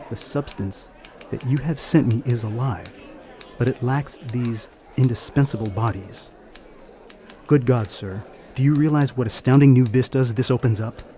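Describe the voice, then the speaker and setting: An actor playing a brisk, puzzled male police detective sergeant in a radio drama, with an emphatic delivery. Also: medium pitch, mellow, natural voice. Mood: emphatic, astonished.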